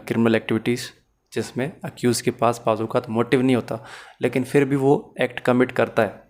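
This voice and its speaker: A man's voice: medium pitch, a little mellow. A man with a Pakistani accent lecturing as a law teacher, mixing English into his speech and explaining points step by step in a didactic way. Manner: code-switches between Urdu-Hindi and English.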